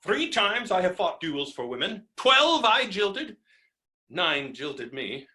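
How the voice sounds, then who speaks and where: A man's voice: high-pitched, sing-song, slow pace, sharp tone, exaggerated voice. A man playing a blustering, boorish, melodramatic fellow who rails against women in a theatrical, mocking way, in a stage comedy reading. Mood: melodramatic scorn.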